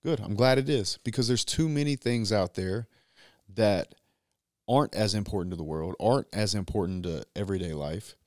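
A man, a business owner talking as a podcast guest, measured and drawn out, louder when he presses a point. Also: fairly low pitch, no echo, studio quality. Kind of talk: casual conversation. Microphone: boom mic on stand.

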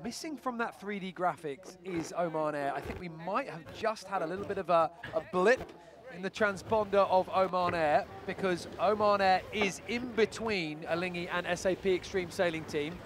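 A man giving live play-by-play commentary on a sailing race, brisk and analytical. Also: high voice, background noise.

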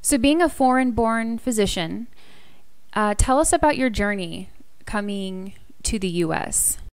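Smooth-voiced woman podcast host. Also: medium pitch, lively intonation, no echo. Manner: direct, brisk questioning. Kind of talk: interview questions.

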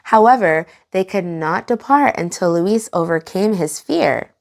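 A young adult woman hosting children's storytime, reading aloud in an animated, expressive way and enunciating for young listeners. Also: on the low side, measured pace, creaky.

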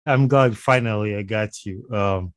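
A man's voice: on the low side, a little slow, faint music behind. A man with a Ugandan accent hosting a podcast in a casual, conversational interview style, speaking close to a studio microphone. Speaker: podcast host. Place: small home studio, indoors. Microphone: close podcast microphone on boom arm.

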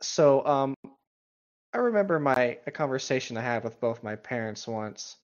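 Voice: medium pitch, animated, moderate pace. A casual, conversational co-host reads a story aloud and adds sweary, chatty commentary. The recording is slightly dull and lo-fi. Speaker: co-host reading and mocking an online horror story.

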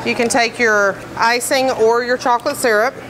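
A woman's voice: fairly high pitch, fairly even intonation, moderate pace, noisy background. A woman bakery trainer explaining decorating steps in plain, practical terms, with frequent fillers. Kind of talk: instructional demonstration. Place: commercial kitchen, indoors.

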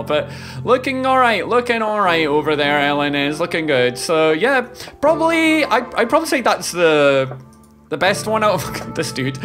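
A man in his thirties giving casual, exclamatory reaction commentary as a streamer, often repeating his phrases. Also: fairly high pitch. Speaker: reaction video host, streamer. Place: indoor home streaming room. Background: music from the trailers being watched.

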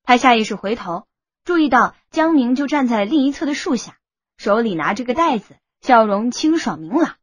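A woman's voice: a little high, expressive, normal speed, slightly rough, no room sound. A woman reading a novel aloud as an audiobook narrator in scripted style. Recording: music bed under the voice.

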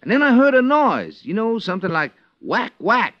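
An actor playing a scared, deferential eyewitness in an old radio crime drama. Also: male voice, high-pitched, huge pitch swings, moderate pace, full character voice. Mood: frightened, excitable. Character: scared, deferential male eyewitness, simple and excitable. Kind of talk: 1950s radio drama acting. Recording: muffled old radio recording.

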